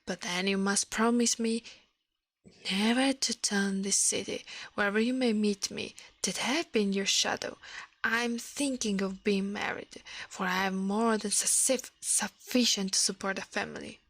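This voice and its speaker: A woman voicing an elegant, self-assured shadow gentleman from a storybook, formal and boastful, in a soft breathy voice. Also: mid-range voice, fairly even intonation, unhurried, no echo. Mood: confiding.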